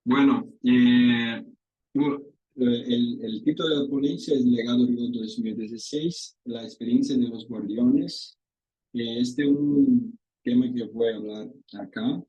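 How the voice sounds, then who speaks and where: A Brazilian researcher, a man whose first language is Portuguese, gives a conference presentation in halting second-language Spanish with a Brazilian Portuguese accent over a video call. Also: medium pitch, dark tone, highs cut off.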